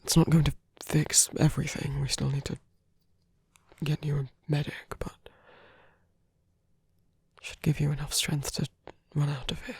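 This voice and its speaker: A man playing a protective, gently teasing villain in an intimate ASMR roleplay, speaking in a soft, hesitant, breathy half-whisper. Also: a little high, flat delivery, unhurried, long pauses, no room sound, full character voice. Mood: gentle, urgent concern. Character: male villain, protective, gently teasing, rescuing a wounded rival.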